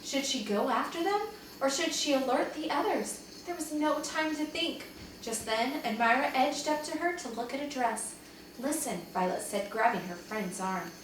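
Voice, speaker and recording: Female storybook reader, higher-pitched and very expressive, teacherly delivery, recorded at a distance in a reverberant room. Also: moderate pace. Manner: animated, dramatizes dialogue for young listeners. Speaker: teacher reading aloud to second graders. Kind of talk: expressive children's storybook read-aloud. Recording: very echoey room, distant mic, faint noise.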